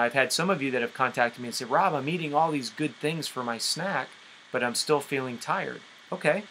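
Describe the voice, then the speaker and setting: A man's voice: mid-range voice, sharp tone, a little hiss or hum, rough recording. A man coaching nutrition on a conference call in an emphatic, explanatory way, often checking in with his listeners.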